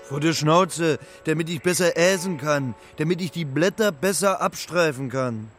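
Man playing a naive young moose, higher-pitched voice. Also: fairly bright tone, faint music behind, close to an everyday voice. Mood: wary, refusing. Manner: simple, halting, literal way of talking. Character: young male moose, homesick, naive, earnest talking animal. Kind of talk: children's radio play acting.

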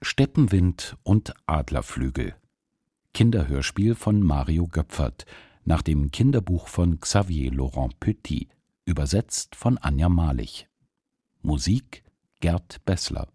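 A man's voice: extremely low pitch, expressive, takes it slowly, warm tone, husky, no echo. A man reading scripted programme credits as an announcer.

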